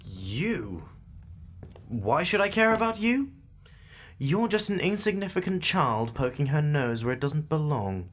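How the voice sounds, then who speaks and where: An actor playing a brusque, secretive agent in an audio drama, speaking in a clipped, formal, scornful manner. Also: male voice, on the high side, sing-song, a little slow. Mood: scornful, warning. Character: brusque, secretive male agent, impatient and dismissive.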